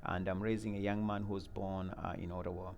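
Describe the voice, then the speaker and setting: A man's voice: sits low, little pitch movement, a little mellow, dull audio. Earnest man. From Uganda. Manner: earnest, measured, many fillers and repeated words. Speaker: mentoring program leader. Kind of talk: interview answers.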